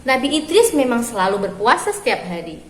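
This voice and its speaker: Echoey higher-voiced woman reading a children's story. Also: sing-song, fairly quick. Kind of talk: children's read-aloud storytelling. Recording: roomy echo with clear background noise.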